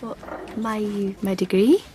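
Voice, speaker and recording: A woman's voice: medium pitch, takes it slowly, dark tone, natural voice. An actress in a radio play playing a shy, unemployed female graduate, hesitant and dryly ironic. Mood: hesitant, anxious.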